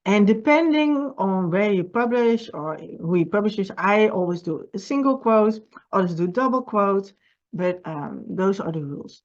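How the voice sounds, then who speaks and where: A woman with a Dutch accent, a native Dutch speaker, giving earnest writing advice in a personal video message as a publisher, halting and often repeating words. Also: medium pitch, animated, lo-fi sound.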